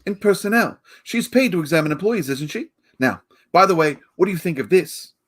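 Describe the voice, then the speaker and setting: A man's voice: on the high side, animated, fairly quick, a bit bright, a little hiss or hum. A man acting a fussy, placating department store manager in a 1940s-style radio play, chatty and fretful. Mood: brisk, disapproving.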